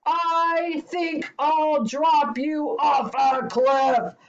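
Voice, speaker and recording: An enormous storybook giant with a bully's bluster, put on by a woman. The words come slowly and haltingly, with lively rises and falls. The recording is a bit dull. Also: high-pitched, noisy background, voice pushed up, full character voice. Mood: blustering, menacing. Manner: blustering, stammering. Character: enormous bullying giant, cowed by his mama.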